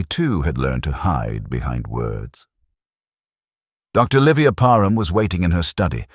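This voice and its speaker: A man playing a brooding, literary police inspector who narrates his case in a measured, reflective, melancholic way, as in a scripted audio drama. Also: very deep voice, no echo, clean studio sound, exaggerated voice.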